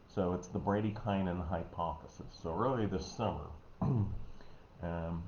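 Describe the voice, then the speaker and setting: A man with a Missouri American accent, giving a casual health talk online in a folksy, rambling way, often clearing his throat. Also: deep voice, dark tone. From Missouri, United States. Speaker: host, health educator. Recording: dull, roomy, noisy home recording.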